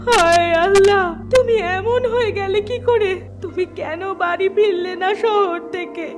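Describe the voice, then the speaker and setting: A woman's voice: very high-pitched, measured pace, music in the background. A woman voicing a plaintive, grieving village widow in a melodramatic cartoon. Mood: heartbroken. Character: grieving young village widow, devoted and bitter.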